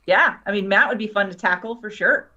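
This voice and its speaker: A woman's voice: mid-range voice, fairly quick, sharp tone, muffled recording. A woman chatting informally as a fan writer on a group panel. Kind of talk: casual chat.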